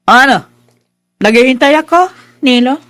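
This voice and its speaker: A haughty middle-aged stepmother in a radio drama. Her delivery swoops widely in pitch as she sneers. She taunts with drawn-out questions, scornful and spiteful. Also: expressive, fairly bright tone. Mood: taunting, domineering.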